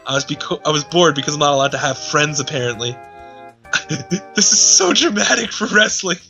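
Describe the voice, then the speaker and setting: Higher-pitched man reading aloud over music. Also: expressive, normal speed, creaky, bright, forceful tone. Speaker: streamer reading fan fiction aloud. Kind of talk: amused dramatic reading with mocking asides. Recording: music playing behind the voice.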